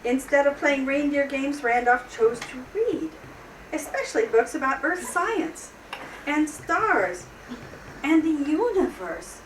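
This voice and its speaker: A woman reading a children's story aloud in an expressive storyteller's style, with theatrical pauses. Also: high-pitched. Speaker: storybook reader. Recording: roomy, distant mic, noisy background.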